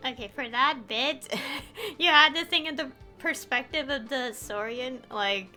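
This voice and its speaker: A woman's voice: high-pitched, unhurried, sharp tone. A woman giving casual live commentary as she plays, thinking aloud as a game streamer. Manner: thinking aloud, often chuckling. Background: game music.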